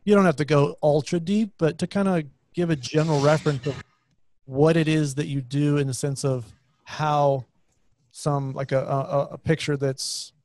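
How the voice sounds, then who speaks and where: A man's voice: medium pitch, a little mellow, no echo. A man hosting a podcast interview, chatty and rambling, circling around his questions with many restarts. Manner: rambling, lots of fillers and restarts. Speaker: podcast host. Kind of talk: casual interview.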